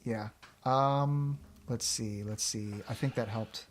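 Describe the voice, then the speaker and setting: A man's voice: medium pitch, slow, a little hiss or hum. A man chatting casually and informally as a podcast co-host. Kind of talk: casual chat.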